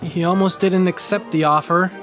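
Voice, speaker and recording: A man narrating a first-person horror story with measured, slow phrasing, who also hosts the channel. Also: sits high, steady tone, over music. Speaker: audio drama creator and narrator.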